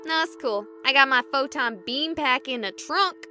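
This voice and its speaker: A woman playing a plucky, stubborn young streamer in a visual novel, sarcastic and excitable in her delivery. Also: high voice, moderate pace. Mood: sardonic. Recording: music behind the voice.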